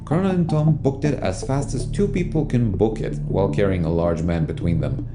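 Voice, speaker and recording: A man's voice: lowish voice, brisk. A man narrating an audio drama in a scripted storytelling style. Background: music.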